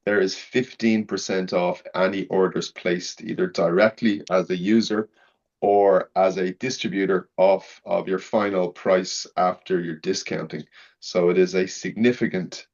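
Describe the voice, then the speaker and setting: Man, deep voice, informal promotional presenter, muffled lo-fi sound. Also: fairly even intonation, measured pace, a little mellow. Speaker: company presenter.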